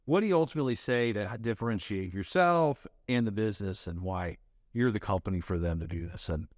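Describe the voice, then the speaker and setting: Middle-aged man, low voice, interview host, probing questions, dry studio. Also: expressive, fairly quick, no echo. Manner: brief affirmations, probing follow-up questions. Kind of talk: business interview. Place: TV studio set.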